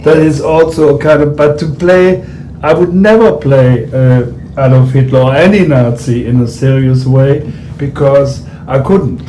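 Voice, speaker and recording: A man from Germany with a German accent, an actor talking in a casual interview in short, halting phrases. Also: mid-range voice, warm tone, a little hiss or hum, poor audio. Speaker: actor guest.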